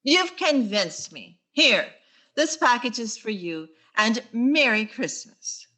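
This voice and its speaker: An actress playing a wry, quick-witted high school English teacher in 1940s radio sitcom style, with dry sarcastic asides. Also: female voice, very animated, pushed and bright, exaggerated voice. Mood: sweetly sarcastic.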